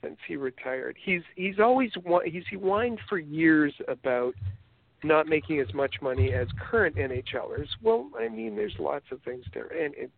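A Canadian man co-hosting a podcast, rambling through casual chat with many halting restarts. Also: a little high, animated, creaky. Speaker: podcast co-host. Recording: tinny phone-like line.

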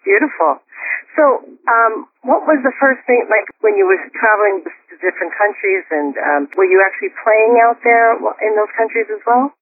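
A woman's voice: on the high side, lively intonation, normal speed, no room sound. A woman hosting an interview show, chatting in a bubbly, enthusiastic way. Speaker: host. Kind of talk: casual interview chat. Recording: tinny phone-line audio.

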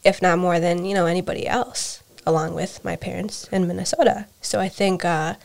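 A young American woman talks in a reflective, personal conversation. Her voice sits a little low for a woman. She is candid and introspective, often halting and restarting a phrase as she works out what she means. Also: fairly even intonation, gravelly, a little mellow. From United States. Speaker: interview guest, adoptee.

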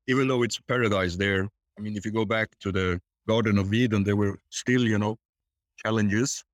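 An older man, answering interview questions in a reflective, halting, conversational way. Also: on the low side, steady tone, dry recording. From born in Tanzania, grew up there and in Sweden. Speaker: podcast guest.

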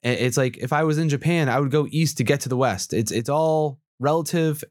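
A man's voice: mid-range voice, brisk, darkish tone, no room sound, studio quality. A man congested from allergies, co-hosting a casual discussion and musing as he corrects himself. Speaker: podcast co-host.

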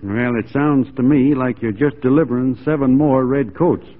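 A man's voice: mid-range voice, moderate pace, lightly acted. Man acting a folksy frontiersman, tinny radio sound. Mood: sardonic. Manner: folksy, hesitant, drily sardonic. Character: wry, plain-spoken frontiersman, soft on a lady. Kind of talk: 1940s radio drama acting. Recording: tinny, narrow-band 1940s radio recording.

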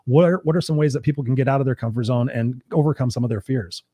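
A man hosting an interview, quick and encouraging as he sets up a question for his guest. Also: mid-range voice, warm tone, husky, no room sound, studio quality. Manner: quick and encouraging, affirming often. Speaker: podcast host. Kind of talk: interview conversation.

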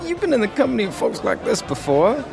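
A man's voice: very high-pitched, wildly swooping pitch, quick pace, creaky, music in the background, crowd noise, full character voice. A man playing a doting, firm husband in a 1920s audio drama, formal and reassuring in manner.

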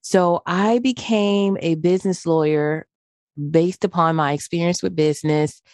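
A woman from the United States, a business lawyer, giving measured answers as a podcast guest. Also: on the low side, steady tone, darkish tone, no echo, clean studio sound. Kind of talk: interview answers.

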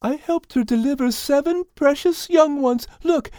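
A woman playing a gentle, excited young wife in an audiobook Bible story. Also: high-pitched, moderate pace, dry recording, studio quality, lightly acted. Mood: earnest, excited. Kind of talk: audiobook acting.

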